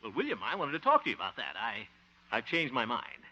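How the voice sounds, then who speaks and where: An actor playing the fussy, easily exasperated lead of a 1950s radio sitcom, who chuckles often. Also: male voice, medium pitch, huge pitch swings, fast talker, pushed and bright, heavily acted. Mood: earnest. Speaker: lead of the show, radio host character. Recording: muffled hissy 1950 radio transcription.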